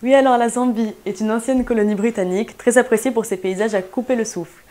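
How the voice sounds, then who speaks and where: A woman's voice: on the high side, fairly even intonation, fast talker, mellow. A young woman explaining news items in a student bulletin, in a scripted, explanatory way. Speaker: news correspondent.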